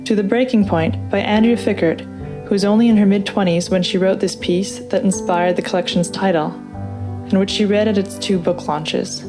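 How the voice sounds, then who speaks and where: Woman narrator, scripted, measured and earnest, mid pitch, music bed under the read. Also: steady tone. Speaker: narrator and project host. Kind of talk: scripted reading over music.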